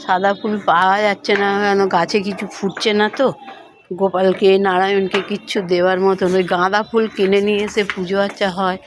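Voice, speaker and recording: A woman's voice: medium pitch, flat delivery, fairly quick. Older Bengali woman vlogger, noisy recording. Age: middle-aged or older, has grandchildren. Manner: chatty, addresses viewers as friends. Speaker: home vlogger. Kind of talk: casual daily-life vlog narration to viewers.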